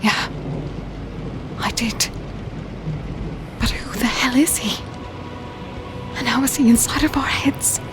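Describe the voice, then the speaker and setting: A woman playing a stubborn, caring archer wife in a dark fantasy audio drama, blunt and expressive. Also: a little high, slow pace, long pauses, music in the background. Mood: alarmed.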